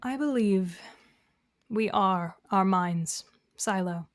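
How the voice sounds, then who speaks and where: A woman playing a composed, gently corrective therapist in an audio drama, speaking in a measured, patient, questioning way. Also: medium pitch, no room sound, studio quality, close to an everyday voice. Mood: measured, serious. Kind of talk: naturalistic audio drama dialogue.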